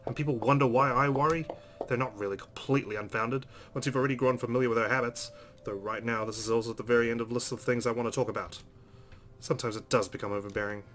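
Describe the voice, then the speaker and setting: A man's voice: medium pitch, fairly even intonation, quick pace, a bit bright, a little hiss or hum. A man narrating a visual novel aloud as a let's player, reading it dramatically with brief asides.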